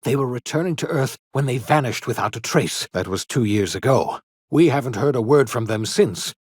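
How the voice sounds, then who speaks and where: Game role: elderly male eccentric scientist, theatrical, old-fashioned diction, very animated mid-pitched delivery. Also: normal speed, slightly rough, dry recording, studio quality. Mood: grave, worried. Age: older. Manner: theatrical, formal old-fashioned phrasing, emphatic. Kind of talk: video game character dialogue.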